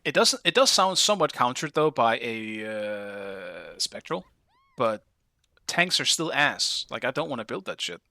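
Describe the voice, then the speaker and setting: A man from Denmark with a Danish accent, chatting casually with his live-stream viewers while playing a game, curious and quick to swear. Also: medium pitch, very animated, sharp tone, dry recording, studio quality.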